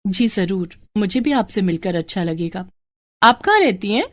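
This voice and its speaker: A woman voicing a gentle, polite young village woman in a storybook drama, speaking earnestly. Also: mid-range voice, animated, moderate pace, close to an everyday voice.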